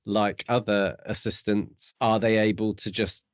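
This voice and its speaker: Low-pitched man, casual podcast co-host. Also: little pitch movement, unhurried, no room sound, clean studio sound. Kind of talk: casual book discussion.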